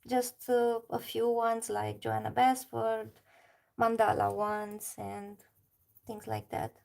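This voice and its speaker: A woman's voice: fairly high pitch, takes it slowly, vocal fry, darkish tone. A woman from Romania with a Romanian accent, chatting casually on a livestream while she colours and answers her chat. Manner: chatty, reads chat aloud, laughs often.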